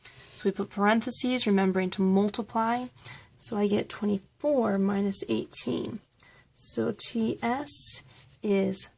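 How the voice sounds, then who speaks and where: A woman math teacher talking through review problems step by step, slightly hoarse from losing her voice. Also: mid-range voice, takes it slowly, rough recording. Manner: patient, step-by-step explaining. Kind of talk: recorded math review lesson.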